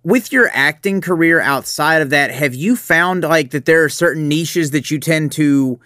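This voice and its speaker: A man's voice: a little high, normal speed, sharp tone, no room sound. Male host, supportive, long rambling questions, dry sound. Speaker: podcast host. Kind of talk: casual interview.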